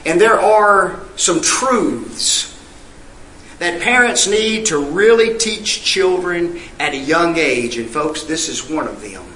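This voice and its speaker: A man's voice: fairly high pitch, expressive, slow pace, sharp tone, echoey room, slight background noise, poor audio. A male preacher, delivering an emphatic sermon full of rhetorical questions and scripture read in old-fashioned wording. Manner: reads scripture in King James wording.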